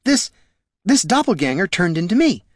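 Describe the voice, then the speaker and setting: A man's voice: high-pitched, very animated, moderate pace, dry recording, clean studio sound, voice pushed up. A man voicing a sly, snarling shapeshifter who poses as an affronted noblewoman in a fantasy audiobook. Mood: feigned alarm. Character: sly doppelganger impostor posing as a noblewoman.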